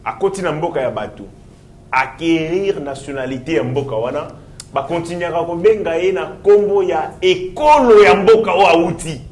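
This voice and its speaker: A man's voice: fairly high pitch, expressive, normal speed, slight background noise. A man with a Congolese accent making a political argument as a panel guest, in a didactic, repetitive way. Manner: didactic, repetitive, explains with examples. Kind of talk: political argument, mixing French and Lingala. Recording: roomy echo on the voice.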